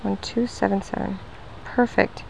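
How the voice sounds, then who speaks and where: Chatty woman pitching pest control at a doorstep. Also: fairly even intonation, unhurried, creaky, darkish tone, slight background noise, low-quality recording. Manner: friendly, upbeat, talkative. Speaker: door-to-door pest control salesperson. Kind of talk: sales pitch at a front door. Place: outdoors at a house door.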